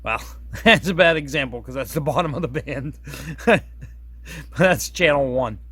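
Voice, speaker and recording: A man's voice: medium pitch, unhurried, a bit bright, breathy edge, dry recording. A man giving a casual, unscripted hands-on product demonstration, folksy and self-deprecating, often rambling and correcting himself as he goes. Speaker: product reviewer demonstrating a radio.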